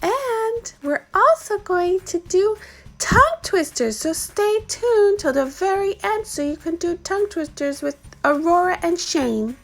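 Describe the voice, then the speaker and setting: A woman reading a children's picture book aloud in an expressive, animated storytelling style. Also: very high voice, unhurried, soft background music, rough recording.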